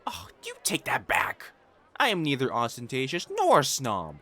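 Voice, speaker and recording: A man's voice: mid-range voice, wildly swooping pitch, normal speed, sharp tone, full character voice. A man playing a prim young matchmaker fiancé in a romantic audio roleplay, formal and earnest in speech, easily flustered. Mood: flustered, indignant. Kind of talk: intimate scripted romance roleplay acting.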